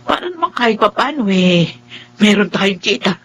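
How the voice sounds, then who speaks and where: A woman's voice: medium pitch, big pitch swings, moderate pace, muffled recording, slight background noise, lightly acted. An actress playing a tender, anxious middle-aged mother in a Filipino radio drama, exclamatory and with a Filipino accent. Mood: delighted, hopeful. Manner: exclamatory, fretful, affectionate. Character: anxious, tender, easily tearful mother of modest means.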